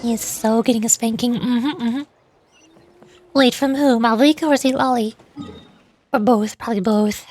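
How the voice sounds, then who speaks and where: A woman's voice: a little high, fairly even intonation, normal speed, pauses often, darkish tone. A woman giving casual, playful, rambling commentary as she streams a game and does amateur voice acting.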